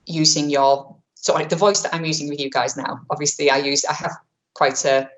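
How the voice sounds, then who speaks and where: Woman, low register, webinar presenter; brisk, chatty, self-correcting delivery on a webcam mic. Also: steady tone. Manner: quick, chatty, self-correcting, many fillers; says she has a powerful voice. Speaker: webinar presenter on vulnerable clients. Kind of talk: online training talk.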